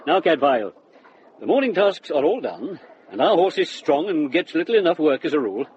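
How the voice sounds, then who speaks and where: Good-humoured monk minding sheep, scolds playfully, slightly high voice; dull radio play recording. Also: male voice, very animated, brisk, darkish tone, slight background noise. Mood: bossy, good-natured. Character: good-natured, mock-grumbling monk who tends sheep. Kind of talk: radio drama acting.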